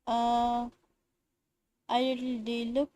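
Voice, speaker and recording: High-voiced Indian woman livestreaming a game. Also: completely flat delivery, slow, dark tone. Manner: slangy, teasing, joking. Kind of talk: casual live commentary, chatting and reacting.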